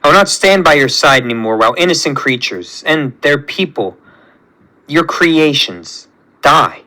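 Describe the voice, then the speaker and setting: A man voicing a solemn, righteous superhuman who defies his creator, speaking in a measured, earnest way in a superhero story. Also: fairly high pitch, lively intonation, sharp tone, poor audio. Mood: defiant. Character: solemn, righteous young male superhuman rebelling against creator.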